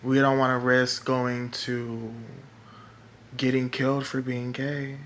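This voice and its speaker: A man with a feminine-sounding voice, talking in a rambling vlog monologue that is halting and full of fillers and restarts. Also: medium pitch, flat delivery, fairly bright tone, slight background noise. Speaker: political vlogger. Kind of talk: casual monologue to camera.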